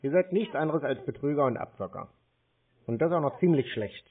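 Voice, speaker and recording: A man's voice: on the high side, animated, brisk, phone-quality sound. A man in an argumentative phone conversation, talking in a blunt, confrontational, colloquial way as he challenges the caller. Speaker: scam baiter on a call.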